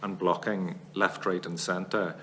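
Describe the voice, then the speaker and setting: A man giving formal testimony as a witness before a parliamentary committee, laying out long arguments in a measured, analytical way. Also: deep voice, flat delivery, fairly bright tone, slight background noise.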